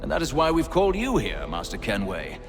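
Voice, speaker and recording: An actor playing a composed, courteous senior leader of a secret order in a video game, speaking formally and with measure. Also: male voice, mid-range voice, huge pitch swings, a little fast, music in the background. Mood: measured, formal. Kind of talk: video game cutscene acting.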